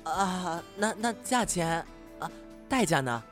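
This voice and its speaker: A young performer playing a timid, excitable half-demon who is hesitant and easily flustered, in an audio drama. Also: mid-range voice, takes it slowly, faint music behind.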